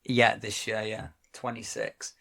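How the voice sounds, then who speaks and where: A man from Wales co-hosting a podcast, talking reflectively in a casual chat, often pausing and restarting his sentences. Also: fairly low pitch, level tone, gravelly, a bit bright. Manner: reflective, halting, many restarts. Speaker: podcast co-host.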